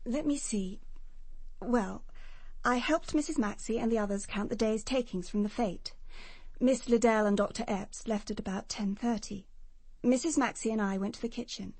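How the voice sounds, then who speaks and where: An English actress playing a prim, self-assured nurse giving precise testimony in a British radio crime drama. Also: female voice, a little high, normal speed, a little mellow, no room sound. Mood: brisk, matter-of-fact.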